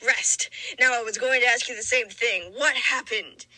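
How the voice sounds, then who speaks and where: Woman playing a bright-voiced stern robot medic. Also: a little high, moderate pace, no room sound. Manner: firm, scolding.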